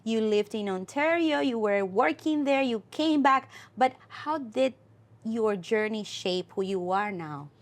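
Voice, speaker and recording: A woman hosting an interview, asking questions in simple, sometimes halting phrasing. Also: a little high, animated. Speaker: host.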